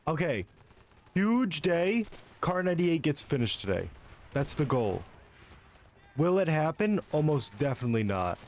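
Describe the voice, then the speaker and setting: Animated young man streaming a shooter. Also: a little high, unhurried, pauses often. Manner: self-mocking, swearing, laughing between shots. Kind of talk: casual gameplay commentary.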